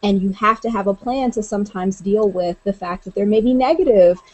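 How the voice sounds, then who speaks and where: A woman's voice: mid-range voice, brisk, a little mellow, low-quality recording. Woman from a college chatting about social media, earnest, lots of ums. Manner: earnest, talkative, many fillers like "you know" and "um". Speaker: college staff member, guest. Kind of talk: conversational discussion.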